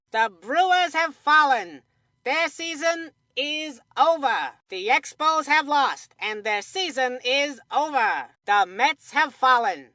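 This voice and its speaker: A woman's voice: high-pitched, pushed and bright, no echo, full character voice. A woman voicing an upbeat cartoon commentator in a kids' baseball video game, with punchy, scripted sports-announcer delivery.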